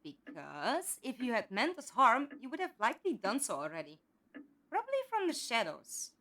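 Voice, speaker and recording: A woman playing a calm, trusting captive in an audio drama, speaking politely and reasonably. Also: fairly high pitch, normal speed, full character voice. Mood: candid, calm. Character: calm, curious, trusting female captive with lost memory. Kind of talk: fan audio drama acting.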